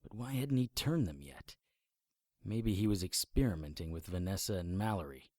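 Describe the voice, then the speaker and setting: Low-voiced hard-boiled occult detective, played by a man. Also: lively intonation, moderate pace, a little mellow, dry recording, clean studio sound. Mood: guarded, urgent. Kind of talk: scripted first-person narration, noir audio drama.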